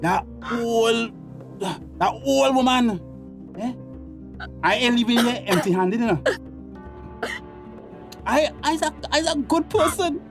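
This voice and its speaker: A man's voice: very high voice, expressive, slow, long pauses, soft background music, exaggerated voice. A man playing a jealous, menacing uncle in a radio drama, ranting threateningly in Tobagonian dialect. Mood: bitter, self-righteous. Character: bitter, menacing uncle, jealous and self-righteous villain.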